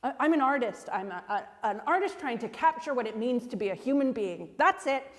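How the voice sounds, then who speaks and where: A woman's voice: on the high side, expressive, fairly quick, lightly acted. Woman acting an indignant film director, echoing hall. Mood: defensive, incredulous. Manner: defiant, swearing, stammering with repeated words. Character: indignant, outspoken female film director under questioning. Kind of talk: dramatic monologue acting. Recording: long echoing hall reverb.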